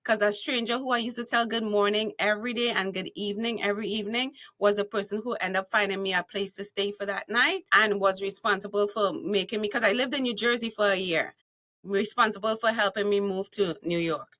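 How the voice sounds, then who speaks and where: A woman's voice: medium pitch, steady tone, brisk, bright, forceful tone. A woman with a Trinidadian accent, a guest on a podcast interview, telling her story in a bold, playful way. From Trinidad and Tobago. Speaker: guest, speaker and author.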